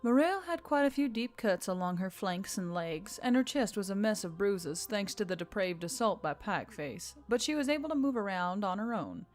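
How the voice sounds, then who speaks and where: A woman's voice: medium pitch, big pitch swings, moderate pace, hardly any pauses. A woman reading scripted fiction as a story narrator. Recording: background music under the voice.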